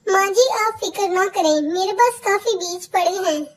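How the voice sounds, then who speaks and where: A tiny, childlike sparrow daughter-in-law from a storybook cartoon. Her voice sits extremely high. She sounds like a dutiful young bird with a sly streak. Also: normal speed. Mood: earnest, helpful. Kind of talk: Hindi cartoon voice acting.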